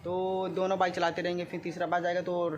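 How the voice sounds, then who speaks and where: A man's voice: high voice, monotone, fast talker, highs cut off. A man with an eastern Uttar Pradesh accent talking casually to viewers in a vlog, explaining things repetitively with frequent check-in tags. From Gorakhpur, India. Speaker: vlogger. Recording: clearly noisy amateur vlog audio with ambience.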